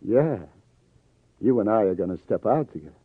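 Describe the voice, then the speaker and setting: A man's voice: lowish voice, expressive, moderate pace, breathy edge, no echo. An actor playing a wry, hard-boiled New police detective in a 1950s radio crime drama, with sardonic, clipped tough-guy delivery. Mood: sardonic, accusing. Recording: tinny old radio broadcast, faint music.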